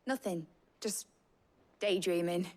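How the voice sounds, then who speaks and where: A woman's voice: lowish voice, slow, darkish tone. An actress playing an earnest, hopeful young female engineer with a British accent, speaking informally and from the heart in a video game drama. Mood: wistful, earnest.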